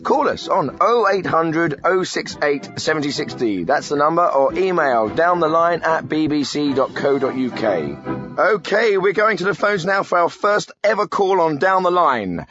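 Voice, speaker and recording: Lively, higher-pitched phone-in radio host. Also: male voice, moderate pace, music in the background. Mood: eager, presenterly. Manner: chatty, interjecting constantly, blunt questioning. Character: bumbling, easily sidetracked male radio phone-in host. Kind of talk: spoof radio phone-in presenting.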